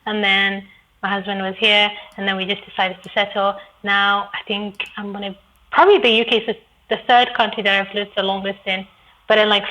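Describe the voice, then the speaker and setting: Woman from Ethiopia, bright tone, playful and laughing, podcast guest. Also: medium pitch, slight background noise, poor audio. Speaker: chef guest. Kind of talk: casual interview chat.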